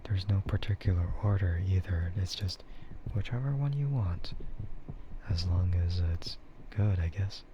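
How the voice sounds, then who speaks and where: Male ASMR streamer: deep, slow, breathy half-whisper, laid-back rambling, slightly muffled recording with faint noise. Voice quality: soft, breathy, often slipping into a whisper. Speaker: streamer and VTuber host. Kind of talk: ASMR casual chat.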